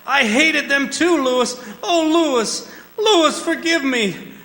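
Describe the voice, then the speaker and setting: Guilty, cowardly murderer male actor, piercingly high and sharp, frantic radio-drama pleading in an echoey hall. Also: animated, takes it slowly, heavily acted. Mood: broken confession. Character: guilty murderer, panicky and cowardly, confronted by ghosts. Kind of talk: theatrical radio drama acting. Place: performing arts center stage. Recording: echoey live hall, long reverb.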